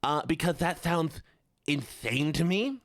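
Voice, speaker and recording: A man voicing an excitable, baffled nerd with an emphatic, incredulous manner on a livestream. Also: fairly high pitch, unhurried, no room sound, studio quality, pitched above the performer's own voice. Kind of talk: livestream voice bit for viewers.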